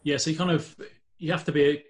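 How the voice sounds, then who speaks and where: A man's voice: on the high side, flat delivery, fairly quick. A man with a London accent, a guest on a podcast, talking casually with many fillers and restarts. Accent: London English. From England. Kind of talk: casual interview talk.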